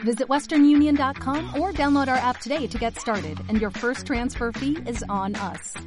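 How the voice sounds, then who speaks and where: A woman's voice: sits high, unhurried. A woman announcer reading a scripted advertising voice-over. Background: music.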